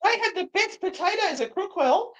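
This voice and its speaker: A woman's voice: very high-pitched, lively intonation, normal speed, a bit bright. A woman co-hosting a live show in casual, informal chat.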